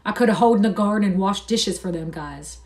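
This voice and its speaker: A woman's voice: medium pitch, brisk, a little mellow, lightly acted. A woman reading aloud and voicing an old male ranch hand who talks in rural, folksy speech. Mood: bitter grief.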